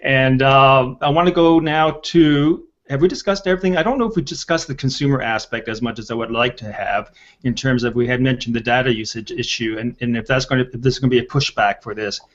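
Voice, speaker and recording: A man's voice: medium pitch, fairly quick. Man hosting over a dull video call. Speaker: host of a panel video show. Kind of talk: casual panel hosting with hesitant fillers. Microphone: headset on a video call.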